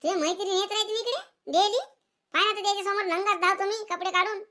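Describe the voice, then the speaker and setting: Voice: very high voice, fast, pushed and bright. A performer voicing a bratty, defiant village schoolboy in a comedic cartoon, whining and boasting in rural Maharashtrian Marathi. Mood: boastful threats. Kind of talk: comedic cartoon skit dialogue.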